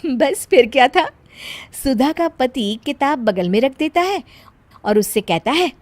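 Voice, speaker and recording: A woman's voice: sits high, lively intonation, a little slow, dry recording. A woman narrator reading a scripted story for a cartoon.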